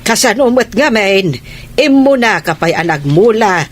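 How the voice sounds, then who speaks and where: A woman's voice: medium pitch, animated, unhurried. A woman with an Ilocano accent playing a worried village mother, chiding and sighing in a radio comedy drama. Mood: hopeful.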